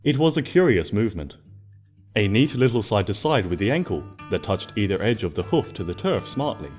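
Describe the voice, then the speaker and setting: A man narrating a scripted story expressively, in a gentle storybook manner. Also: lowish voice, big pitch swings, fairly quick, faint music behind. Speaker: fan fiction narrator.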